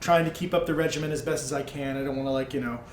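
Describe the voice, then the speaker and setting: A man with a mid-pitched voice plays a tabletop roleplaying game. He talks casually in character and narrates what he does. The recording is echoey, with faint noise behind him. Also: steady tone, very fast. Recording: roomy echo with background noise.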